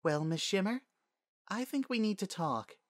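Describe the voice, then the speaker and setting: A man's voice: high-pitched, animated, a little slow, pitched above the performer's own voice. A man voicing a composed, graceful pony princess in a narrated fantasy story. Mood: gentle, composed.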